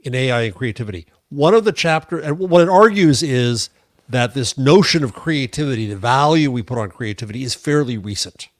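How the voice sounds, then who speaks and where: An older man with a mid-pitched voice gives casual opinions as a podcast co-host. He is wry and halting, restarting as he thinks aloud. The recording is dry and free of room sound. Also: lively intonation, no room sound.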